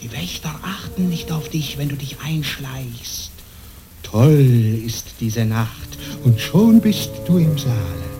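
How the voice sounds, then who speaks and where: A man's voice: medium pitch, sing-song. An actor playing a menacing male dream spirit, a tormenting evil conscience, in theatrical radio play style with a commanding, incantatory delivery. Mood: urgent, goading. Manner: slow, commanding, incantatory, with hissed whispers. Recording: dramatic music behind the voice.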